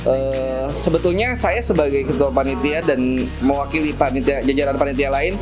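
A man's voice: mid-range voice, normal speed, over music. A young man, the tournament organizer, chatting casually in an interview with lots of fillers, indoors at a cafe. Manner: relaxed, chatty, many fillers and laughs. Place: cafe stage in front of a decorated wall, indoors.

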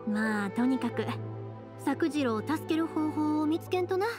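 High pitched kansai young lady, friendly n caring, anime game hero, music behind. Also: lively intonation, moderate pace. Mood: friendly, thoughtful. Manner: reassuring, friendly, earnest. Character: spirited, caring young woman who makes fireworks. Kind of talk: video game voice acting. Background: background music.

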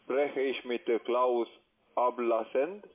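A man's voice: medium pitch, steady tone, takes it slowly. A man with a foreign accent, a non-native speaker, making a sales call as a call centre agent. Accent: foreign accent in German. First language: not German. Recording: tinny narrowband phone line.

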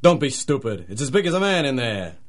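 A man's voice: mid-range voice, big pitch swings, fairly quick. A man playing a bossy, scheming gang leader with tough-guy slang in a 1930s-style radio drama. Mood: impatient.

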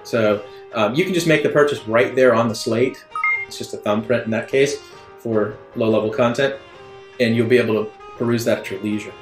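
A man narrating an improvised tabletop game as game master in a halting, conversational way. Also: medium pitch. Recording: echoey room, music underneath. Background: soft guitar music.

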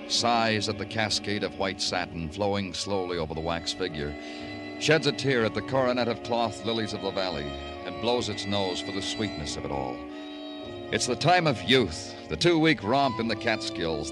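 A man acts a brooding big-city police detective in vintage radio noir. The voice is on the low side, moody and world-weary, with music behind it. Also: lightly acted. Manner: terse in talk, moody poetic narration. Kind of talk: 1940s-50s radio noir acting.

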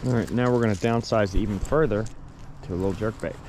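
A man with an American accent narrating his fishing trip on a creek bank, recorded on a chest-mounted action camera, relaxed and chatty but excitable when a fish bites. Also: on the low side, fast, slight background noise. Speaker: fishing vlogger. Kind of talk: casual outdoor narration. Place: creek bank outdoors in winter.

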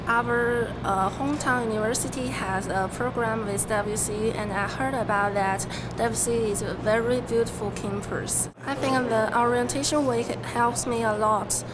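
Young woman, native Chinese speaker, careful and halting, middle pitch, traffic behind. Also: fairly even intonation. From China. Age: young adult. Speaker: international student. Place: university building lobby.

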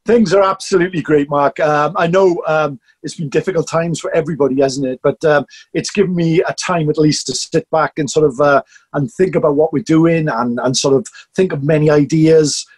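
A man's voice: on the high side, fairly even intonation, a little fast, darkish tone, breathy edge, no room sound. A middle-aged Welsh man chatting conversationally as an interview guest, often ending on tag questions. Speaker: podcast guest, performance storyteller. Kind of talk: casual interview chat.

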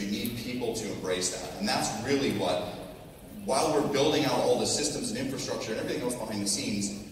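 American guy doing a casual keynote, bit hoarse, big echoey ballroom recorded from the crowd, noisy. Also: lowish voice. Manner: conversational, restarts for emphasis, tags points with "right?". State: slightly hoarse. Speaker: technology executive, conference speaker. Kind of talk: informal keynote presentation to an audience. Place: large hotel conference ballroom stage. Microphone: PA heard through a distant audience camera. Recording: echoey hall, distant audience recording, noisy.

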